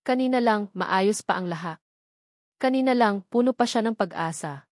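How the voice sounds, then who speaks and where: Storybook role: gentle, respectful young female teacher, mid-range , played by a woman narrator. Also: mid-range voice, moderate pace, dry recording, studio quality, lightly acted. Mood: bewildered, anxious. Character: earnest, polite young woman, new teacher.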